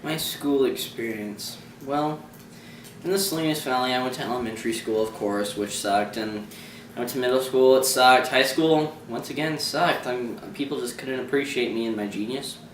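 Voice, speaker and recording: A young man playing a proud male author who talks bluntly and casually about himself on a homemade talk show. His voice sits at an everyday middle pitch. It is recorded from a distance in an echoey room with faint background hiss. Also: moderate pace, a bit bright, natural voice. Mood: boastful. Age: teens to young adult. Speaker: student playing a famous novelist. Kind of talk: school project mock talk show.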